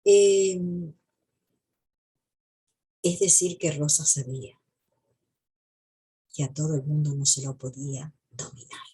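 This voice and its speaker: A woman with a Buenos Aires province accent talks history to her viewers. Her voice sits low for a woman and has a husky, rough grain. She is reflective and emphatic, circling back on phrases to give them weight. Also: takes it slowly, long pauses, warm tone. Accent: Argentine, Buenos Aires province. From Buenos Aires province, Argentina. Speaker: history video presenter. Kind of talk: informal history commentary to viewers.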